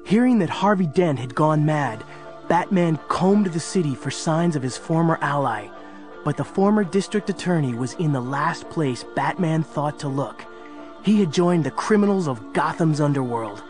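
A man's voice: fairly high pitch, animated, moderate pace, a little mellow. A man narrating an audio story in a dramatic, scripted storytelling style. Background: music.

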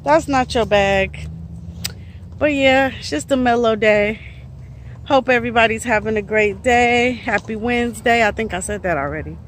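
A woman in her thirties, a truck driver, chatting casually to a phone held at arm's length outdoors, relaxed with lots of fillers. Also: a little high, moderate pace. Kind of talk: casual vlog to phone camera. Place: outdoors in a park and a truck yard. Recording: phone audio with outdoor noise.

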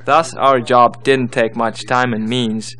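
Guy narrating a how-to, scripted instructions, normal mid pitch voice. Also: level tone, takes it slowly, sharp tone. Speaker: tutorial narrator.

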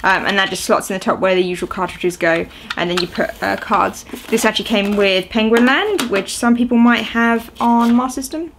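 A woman's voice: mid-range voice, normal speed, few pauses, background noise. Chatty young woman rambling over an unboxing. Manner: chatty, rambling, lots of ums and uhs. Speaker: video host. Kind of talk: casual unboxing chat. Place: small indoor room at home, seated on a sofa.

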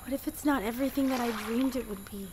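A woman voicing a wistful, sheltered eighteen-year-old princess in an animated fairy-tale drama. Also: on the high side, quick pace, noisy background, pitched below the performer's own voice, close to an everyday voice. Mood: nervous, wistful.

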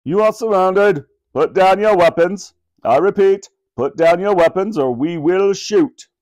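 A man's voice: high voice, measured pace, no echo, voice pushed up. A man voicing a stern police officer barking orders over a megaphone in a storybook reading. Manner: commanding, loud.

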